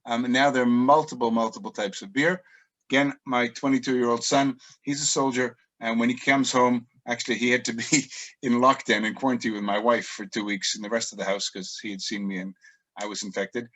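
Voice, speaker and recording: A man's voice: medium pitch, fairly even intonation, brisk, a bit bright, poor audio. A middle-aged man guiding a virtual tour, telling chatty stories with frequent ums as he works through his slides. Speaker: tour guide.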